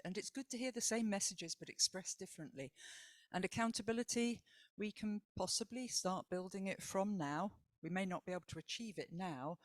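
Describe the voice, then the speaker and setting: Female panel moderator. Measured, reflective delivery with tag questions, very dry acoustic. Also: low voice, dry recording.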